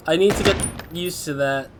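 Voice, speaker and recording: A man's voice: on the high side, measured pace, heavy background noise. A man doing chatty let's play commentary over a game. He sounds a little stuffy from a cold and rambles. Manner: chatty, rambling, laughs often. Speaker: gaming YouTuber. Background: game music.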